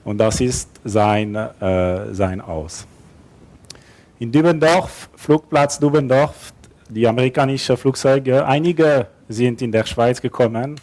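A man's voice: medium pitch, slow, a little mellow. Man speaking hesitant German. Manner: non-native German with grammar slips, hesitant fillers, light self-deprecating humor. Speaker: conference speaker. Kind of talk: conference talk with slides. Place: conference hall. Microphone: headset or lapel mic.

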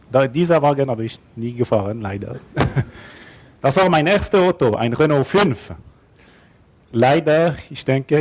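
A man's voice: mid-range voice, a little mellow. Man speaking hesitant German. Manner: non-native German with grammar slips, hesitant fillers, light self-deprecating humor. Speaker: conference speaker. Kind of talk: conference talk with slides. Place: conference hall. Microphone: headset or lapel mic.

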